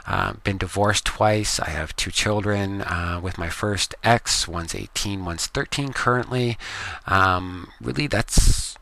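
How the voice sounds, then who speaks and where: A Canadian man talks through his gameplay in a loose, rambling way, filling pauses with ums. His voice sits low yet comes across bright and forceful. The sound is dry and close, with no room echo. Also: gravelly. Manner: relaxed, rambling, many ums. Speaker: gaming video creator. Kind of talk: casual gameplay commentary. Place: home room with computers.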